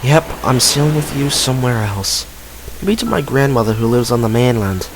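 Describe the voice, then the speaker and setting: A man's voice: medium pitch, animated, normal speed, music in the background, close to an everyday voice, poor audio. A man playing an earnest, loyal, adventurous teenage boy sailor in an amateur audio drama. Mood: determined.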